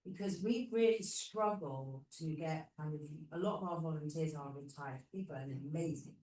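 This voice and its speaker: Friendly low-pitched woman from England. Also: moderate pace, slight background noise. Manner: friendly, loud voice. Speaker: chief executive, audience member. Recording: roomy hall, mic at a distance.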